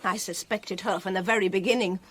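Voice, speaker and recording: A woman's voice: mid-range voice, steady tone, brisk, fairly bright tone, slight background noise, lightly acted. An actress playing a distraught, devoted young Frenchwoman in a radio drama, with a pleading, melodramatic manner. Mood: suspicious.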